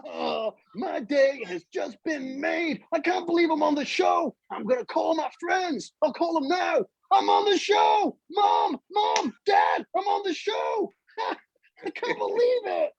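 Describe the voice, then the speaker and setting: A man voicing an excitable, childlike, starstruck puppet in a gushing, exclamatory manner for a live puppet show. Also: very high voice, slow, gravelly, raised voice, pitched above the performer's own voice, exaggerated voice, low-quality recording. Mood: overjoyed, starstruck.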